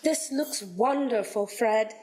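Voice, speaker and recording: A woman's voice: a little high, slow, dark tone, slight background noise, low-quality recording. A woman playing a firm, frank young wife and mother in a radio play. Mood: gentle.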